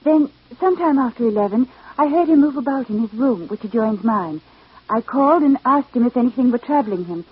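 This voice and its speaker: Husky, reserved genteel widow. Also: female voice, on the high side, expressive, fairly quick, no echo. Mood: composed, sorrowful. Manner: formal, guarded, restrained. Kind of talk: 1940s-50s radio acting. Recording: tinny, phone-like old radio recording.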